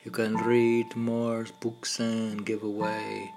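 A man reading his own poems aloud in a halting, meditative way, with informal asides. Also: fairly low pitch, monotone, darkish tone, soft background music.

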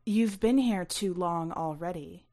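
A woman's voice: on the low side, unhurried, mellow. A guarded mother from an audio story voiced by a female reader. She is curt and unyielding, keeping her words short and firm. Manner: terse, firm.

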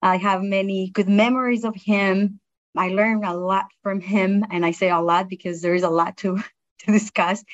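A woman's voice: medium pitch, steady tone, normal speed, lo-fi sound. A middle-aged woman with a non-native English accent, speaking earnestly and appreciatively as a guest in a reflective interview. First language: not English. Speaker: interview guest, produce industry science manager.

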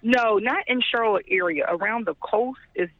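A man's voice: high-pitched, moderate pace, no echo. A man from Michigan calling in as a podcast guest, talking in an upbeat, chatty way. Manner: upbeat, talkative. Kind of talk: casual interview chat. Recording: tinny dry phone line.

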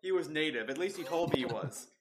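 A man's voice: fairly high pitch, expressive, quick pace, a loud crowd. A young man co-hosting a casual podcast, reminiscing in an easygoing way. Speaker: podcast co-host. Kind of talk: casual chat.

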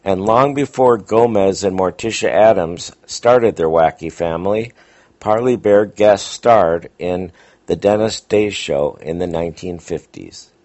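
A man's voice: sits low, measured pace, darkish tone. A man hosting and introducing old radio episodes in scripted narration, on a dull recording. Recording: dull, lo-fi sound.

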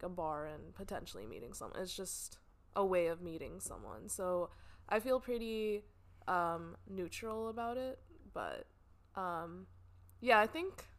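A woman in her twenties, a guest, talking thoughtfully about dating with many hedges and fillers. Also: medium pitch. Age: 20s. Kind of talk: casual chat.

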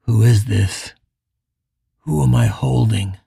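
A reader voicing Richard, a remorseful middle-aged man pleading with the young man he once rejected, in a lowered, emotional voice. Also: slow, creaky, dark tone, husky, no echo, clean studio sound, full character voice. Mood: doubtful.

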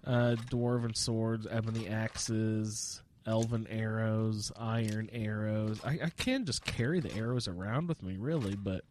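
A man's voice: lowish voice, fairly even intonation, measured pace, few pauses, darkish tone, a little hiss or hum. Sniffling man casually narrating his gameplay. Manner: rambling, self-deprecating, jokey. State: sniffling with a slight cold. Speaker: gamer narrating own playthrough.

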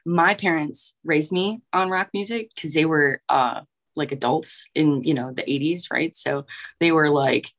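Very low-voiced woman, enthusiastic guest. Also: measured pace. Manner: enthusiastic, uses lots of "like" and "right?". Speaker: podcast guest. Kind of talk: casual podcast chat.

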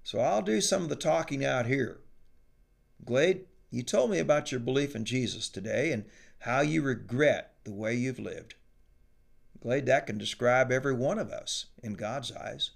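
A man voicing a calm, devout young farmer. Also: medium pitch, moderate pace, gravelly. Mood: sincere.

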